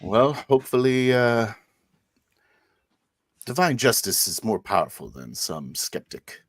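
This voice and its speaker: A man's voice: mid-range voice, big pitch swings, a little slow, dry recording, clean studio sound. A man improvising as a devout, genteel inquisitor priest in a tabletop roleplaying game, with a hesitant, insinuating manner. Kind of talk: improvised in-character roleplay and narration.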